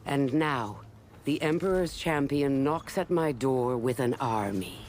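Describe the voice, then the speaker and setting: A man's voice: mid-range voice, a little slow, darkish tone, a little hiss or hum, close to an everyday voice. An actor playing a grim male warlord who narrates from his fortress in a video game cutscene. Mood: brooding.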